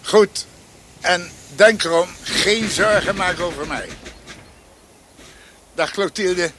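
An actor playing a grave, devoted older doctor in a Dutch radio drama, with a husky voice. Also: male voice, high voice, slow pace, noisy background. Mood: tender farewell.

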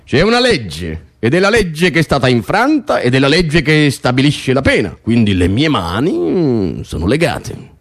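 A man's voice: mid-range voice, wildly swooping pitch, moderate pace. A male actor playing a stubborn, pompous tyrant king in a theatrical radio play, emphatic and judicial. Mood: pompous, coldly judicial.

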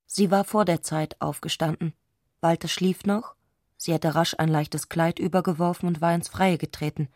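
Low-pitched woman narrating, very dry sound. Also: fairly even intonation, normal speed, a little mellow, no echo. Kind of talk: scripted literary narration for radio play.

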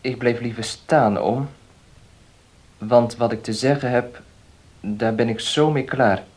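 A male actor plays an earnest nephew in a radio drama. His medium-pitched voice is measured and formal. He sounds solemn, dutiful and defeated. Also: a little mellow, close to an everyday voice. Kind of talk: radio play acting.

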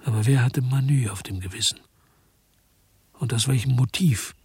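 An actor playing a weary, hard-boiled investigator in a radio drama, with terse, laconic delivery and a husky voice. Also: male voice, medium pitch, normal speed, a little mellow, dry recording. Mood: grim, reflective. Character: weary, hard-boiled male investigator telling his story. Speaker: first-person narrator and lead actor. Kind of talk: German radio drama narration and dialogue.

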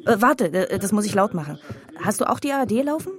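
A woman's voice: mid-range voice, very animated, fast talker, crowd noise, close to an everyday voice. A woman playing a stressed, sardonic young sister in naturalistic radio drama dialogue.

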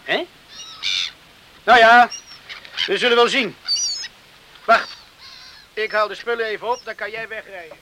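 A good-natured young village man in a radio drama. His voice is high and bright, his delivery plain and reassuring, over a slightly noisy recording. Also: unhurried, long pauses. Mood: easygoing. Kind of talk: Dutch radio drama acting.

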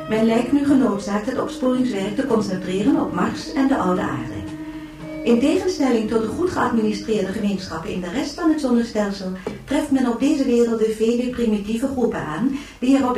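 An actress playing a formal, composed newsreader, delivering a measured scripted bulletin in a science-fiction radio play. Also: female voice, a little high, lightly acted. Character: formal, composed female newsreader of a future age. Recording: roomy, distant sound over faint music.

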